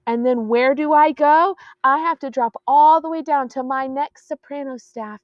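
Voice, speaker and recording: A choir teacher, patiently explaining sheet music to middle school singers and counting beats aloud. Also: female voice, sits high, moderate pace, dry recording. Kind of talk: classroom music lesson. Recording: dull, dry lo-fi audio.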